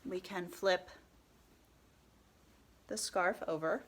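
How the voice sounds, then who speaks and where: A woman with a stuffy nose from a cold, calmly talking through a step-by-step tutorial and often dropping to a soft whisper. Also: fairly low pitch. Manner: calm, unhurried, often drops to a soft whisper. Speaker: head wrap tutorial host.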